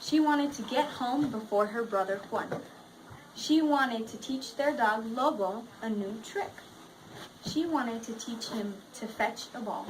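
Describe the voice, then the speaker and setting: A woman's voice: on the high side, unhurried, poor audio. A woman teaching a class, reading aloud expressively and putting questions to her pupils. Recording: faint background noise in a classroom.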